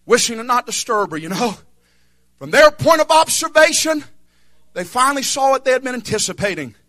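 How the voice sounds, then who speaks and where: A young man preaching a fervent revival sermon, shouting and half-singing his lines with calls of amen and hallelujah. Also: extremely high pitch. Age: young adult.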